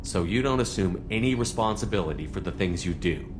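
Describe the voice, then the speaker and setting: An actor playing an earnest, principled human military commander in a video game, with a direct, questioning manner. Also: male voice, sits low, lively intonation, fairly quick, noisy background. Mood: challenging. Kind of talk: video game dialogue acting.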